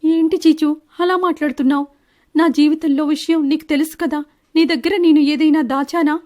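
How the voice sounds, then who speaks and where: Cartoon wife bird, played by a woman, high voice, evasive, quick to protest and act wronged. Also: steady tone, a little mellow, natural voice. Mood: indignant. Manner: defensive, protesting. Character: Chinnu, deceitful married female bird, indignant and evasive. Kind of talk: animated Telugu moral-story dialogue.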